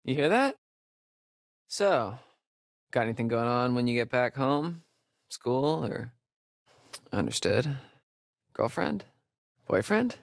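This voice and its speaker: A man's voice: mid-range voice, sing-song, vocal fry, dry recording, full character voice. Wisecracking young American guy, dry recording. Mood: playful, curious. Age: young adult. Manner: casual, sarcastic, halting asides. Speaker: video game voice actor. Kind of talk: naturalistic video game dialogue.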